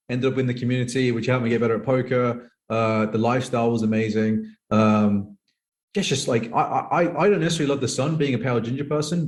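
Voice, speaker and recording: A thirty-year-old British man chatting casually as an interview guest, telling personal stories in a rambling way with lots of "like". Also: medium pitch. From United Kingdom. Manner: rambling, peppered with "like". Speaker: podcast guest, professional poker player.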